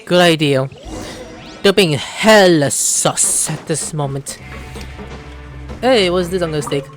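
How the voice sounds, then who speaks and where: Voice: mid-range voice, takes it slowly, music in the background. A video creator giving casual let's play commentary, slangy, reactive and playful.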